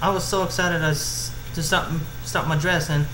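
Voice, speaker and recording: Man voicing an excitable young pony girl, pitched up. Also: normal speed, natural voice. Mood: pleading, flustered. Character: excitable, earnest young female pony apprentice seamstress. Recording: roomy echo, clear background noise.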